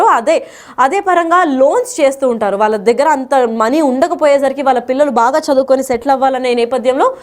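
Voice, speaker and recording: Fast, high-pitched woman news presenter. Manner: explanatory, wordy, mixes in English terms. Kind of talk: news explainer commentary, partly unscripted.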